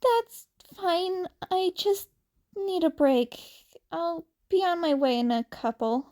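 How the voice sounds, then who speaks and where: Woman doing upset teenage boy, audiobook dialogue, high voice, hesitant, apologetic. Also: takes it slowly, darkish tone. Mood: awkward.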